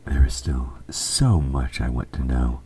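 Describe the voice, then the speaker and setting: A man playing an evil, possessive king in an audio roleplay, speaking formally and with measured, quiet menace, his S sounds sharp. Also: a bass voice, husky, exaggerated voice. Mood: smugly satisfied. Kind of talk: scripted audio roleplay, intimate dramatic monologue.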